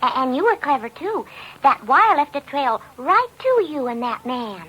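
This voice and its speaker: An actress playing a naive, gushing, chirpy young secretary in an old radio comedy drama. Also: female voice, sits high, very animated, normal speed, fairly bright tone, low-quality recording. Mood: admiring. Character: naive, chirpy, giggly young female secretary, eager to joke. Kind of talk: 1950s radio comedy acting. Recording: old radio broadcast recording.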